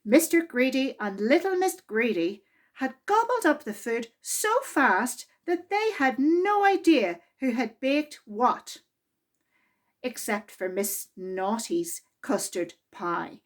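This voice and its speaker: High-pitched woman reading a children's story slowly. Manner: deliberate, expressive reading aimed at young children. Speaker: library storytime reader.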